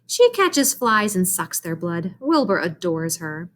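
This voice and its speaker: A woman's voice: on the low side, big pitch swings, measured pace, fairly bright tone, a little hiss or hum. A woman voicing an earnest, chatty young farm girl from a storybook in an eager, matter-of-fact manner. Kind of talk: storybook character voice.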